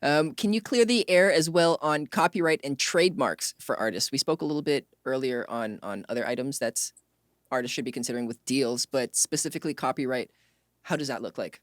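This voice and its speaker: Male interview host, medium pitch, dry close sound. Conversational questioner, appreciative and affirming. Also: a little fast, dry recording. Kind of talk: conversational hosting, asking questions.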